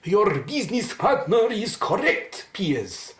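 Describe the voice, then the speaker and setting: A woman's voice: mid-range voice, sing-song, slow pace, echoey room. A woman playing a sinister shapeshifting organism in a radio play, with formal, grandiose, commanding speech.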